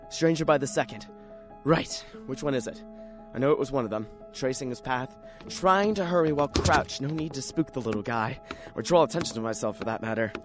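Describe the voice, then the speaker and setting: A performer plays an excitable library regular narrating a radio drama in the first person. The delivery is dramatic and self-questioning, with a very animated pitch that rises and falls sharply. Music plays behind the voice. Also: close to an everyday voice. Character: jittery, excitable library regular narrating his own strange chase.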